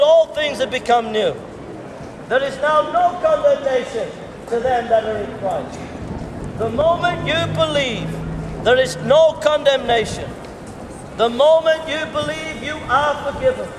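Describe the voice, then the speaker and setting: A middle-aged man preaching to passers-by in a pedestrian street, repeating phrases in an emphatic, slow cadence, recorded from a distance. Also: very high voice. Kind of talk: open-air street sermon. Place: pedestrian shopping street outdoors. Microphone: far camera. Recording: roomy outdoor sound, distant reverb, clear street noise. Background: town street ambience and passing traffic.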